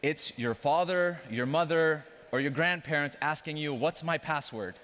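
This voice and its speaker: A man's voice: on the high side, normal speed, fairly bright tone. A young man from Afghanistan giving a conversational, joking conference talk on stage, speaking to the crowd directly. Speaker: conference speaker, company CEO. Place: large conference hall stage. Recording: long reverb of a large hall.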